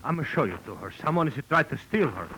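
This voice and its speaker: An actor playing an excitable, doting Italian animal show owner with a theatrical manner and broken English, in 1940s radio style. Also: male voice, fairly high pitch, fast. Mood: fond, anxious. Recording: noisy old 1940s radio recording.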